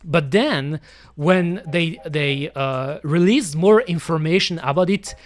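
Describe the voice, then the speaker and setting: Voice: medium pitch, slow pace. Gaming streamer, English, loose rambling chatter full of fillers and casual cursing. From Belgium. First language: French. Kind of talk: casual chat while playing.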